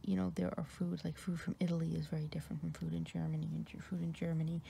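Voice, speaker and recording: A woman from New York with an American accent whispers slowly through a relaxed ASMR ramble. Her voice is deep for a woman, dark and heavily gravelly, with a faint hiss of noise behind it. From New York, USA. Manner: soft whisper with many fillers and pauses. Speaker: ASMR creator.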